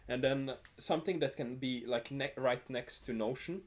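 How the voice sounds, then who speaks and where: A man's voice: mid-range voice, normal speed. A young man hosting a live coding stream, thinking aloud with many ums, his phrases fading out. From Norway. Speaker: streamer host. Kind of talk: casual live-coding chat. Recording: faint music and keyboard typing behind.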